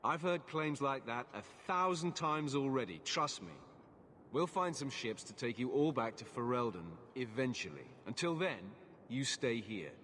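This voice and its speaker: An actor playing a stern, exasperated guard captain in a video game, jaded, curt and unyielding. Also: male voice, medium pitch, animated, moderate pace, a bit bright, close to an everyday voice. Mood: weary, dismissive. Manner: curt, world-weary, dismissive. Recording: long hall echo, faint ambient noise.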